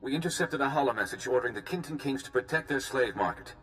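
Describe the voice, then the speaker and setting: An actor playing an earnest, worried ambassador in a video game, speaking in a formal, diplomatic way. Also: male voice, mid-range voice, quick pace, a bit bright, long reverb tail, faint music behind, close to an everyday voice, poor audio. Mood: grave, urgent. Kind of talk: video game cutscene acting.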